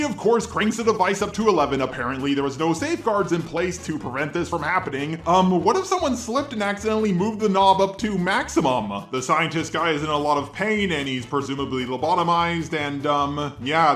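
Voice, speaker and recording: A man's voice: a little high, fairly bright tone, echoey room. A man with a robot-voice effect delivering a scripted, sarcastic and exasperated review narration. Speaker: video reviewer.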